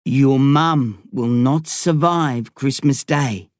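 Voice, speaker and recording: A man's voice: medium pitch, takes it slowly, dry recording, studio quality, close to an everyday voice. A man voicing a mysterious, urgent stranger on a phone line, insistent and ominous. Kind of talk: audiobook dialogue.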